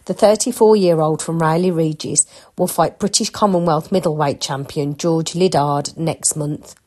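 Low-voiced woman reading with a head cold. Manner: plain steady reading with asides describing photos. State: congested, short of breath from a cold. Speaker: newspaper reader for listeners. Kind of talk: reading news articles aloud.

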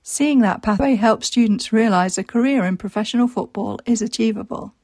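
A woman reading newspaper articles and a reader's letter aloud in a measured, deliberate way, slowing down through lists. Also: a little high, darkish tone, no room sound.

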